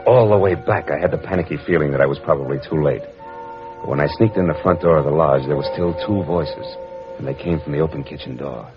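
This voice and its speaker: An actor with an American accent playing a tough, wisecracking private detective in a vintage radio drama, talking in sardonic, cynical slang. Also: male voice, a bass voice. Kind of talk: hardboiled 1940s-50s radio detective acting and narration. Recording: muffled vintage radio recording, music underneath.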